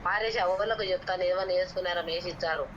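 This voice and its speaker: A woman's voice: fairly low pitch, rapid-fire. A woman speaking bluntly and scolding in a casual phone conversation. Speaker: prank call participant. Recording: muffled, very noisy phone call.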